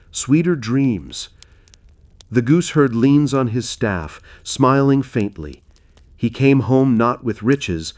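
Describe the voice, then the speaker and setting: A man reading a scripted bedtime fairy tale in a soothing, measured way, as a storyteller would to help listeners sleep. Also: medium pitch, a little mellow. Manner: soothing, measured, unhurried delivery.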